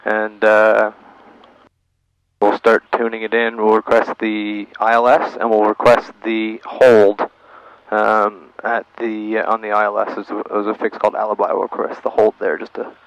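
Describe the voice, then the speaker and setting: Low-voiced man narrating from an airplane cockpit. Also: monotone, normal speed, clean tone, no echo, low-quality recording. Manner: thinks aloud in short procedural phrases. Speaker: pilot narrating his own flight. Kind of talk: cockpit vlog narration mixed with radio calls. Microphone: aviation headset. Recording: faint engine and radio noise.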